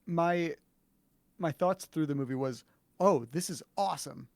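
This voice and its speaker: A man's voice: fairly high pitch, measured pace, vocal fry, no echo. A man chatting casually on a live stream with dry, understated joking.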